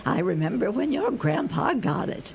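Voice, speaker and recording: A woman's voice: mid-range voice, very animated, normal speed, slight background noise. A woman acting in a radio drama as a kindly, knowledgeable older local who explains things in a folksy, matter-of-fact way.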